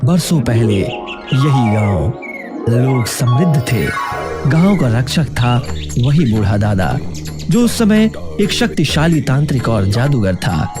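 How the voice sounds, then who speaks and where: A man's voice: medium pitch, very animated, takes it slowly. A man narrating a scripted children's story in a dramatic style. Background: loud background music.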